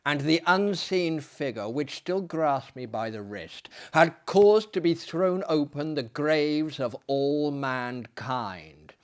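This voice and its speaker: A man who performs dramatic readings, speaking in a hammy, florid, self-deprecating storyteller's manner that swells and hushes. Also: a little high, expressive, slow pace, few pauses.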